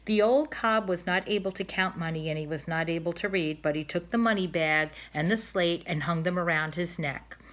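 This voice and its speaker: A woman reading a children's story aloud expressively, in deliberate, clearly phrased narration. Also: on the low side, a bit bright. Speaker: storybook reader.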